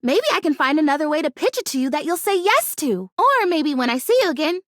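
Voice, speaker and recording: A woman's voice: high voice, lively intonation, fast, fairly bright tone, dry recording, clean studio sound. A woman voicing a bubbly, naive, stubbornly hopeful fake houseplant in a video game, chatty and dramatic in her delivery. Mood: stubborn, slightly hurt. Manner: perky, dramatic, emphatic, chatty. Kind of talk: animated video game character acting.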